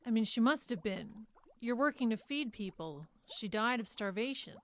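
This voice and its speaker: Young female assistant, fairly high voice, hesitant, persistent, concerned, radio drama. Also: lively intonation, normal speed. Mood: insistent. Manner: hesitant yet probing. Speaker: actor in audio drama. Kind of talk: live radio drama acting.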